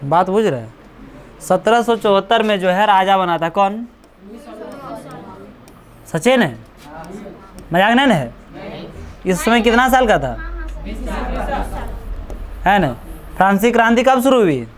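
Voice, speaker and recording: A woman's voice: mid-range voice, animated, a little slow, pauses often, some chatter behind. A woman coaching-class teacher giving a classroom history lecture, often checking that students follow and repeating dates. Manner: keeps checking understanding, repeats dates, teasing asides.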